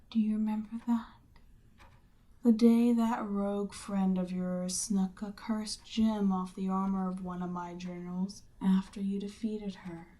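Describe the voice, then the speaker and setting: A seductive Demon Queen, acted by a woman, speaks to her captive in an ASMR roleplay. She takes her time over every phrase, sliding from breathy, medium-pitched speech into whispers. Her manner is smug and coaxing, with the firm command of a ruler. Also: dark tone, lightly acted. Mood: coaxing, menacing. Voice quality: breathy, often dropping into whisper.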